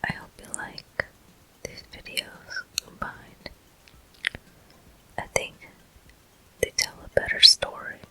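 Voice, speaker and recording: A person whispering gameplay commentary for an ASMR video, breathy and gentle, in short, unhurried phrases. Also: low-quality recording. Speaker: host of a gaming ASMR video.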